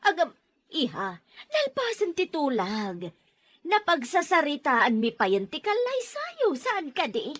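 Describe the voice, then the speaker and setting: A woman's voice: high voice, wildly swooping pitch, unhurried, a bit bright, no room sound, full character voice. A woman acting a sociable middle-aged mother keen on matchmaking, theatrical and emphatic, in an audio drama. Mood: eager, insistent.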